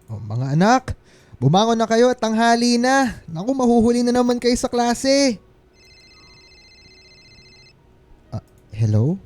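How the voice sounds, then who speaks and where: Man acting a mellow, gentle, reassuring husband. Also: extremely high pitch, big pitch swings, moderate pace. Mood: brisk. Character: gentle, reassuring husband and father around forty. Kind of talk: radio drama acting.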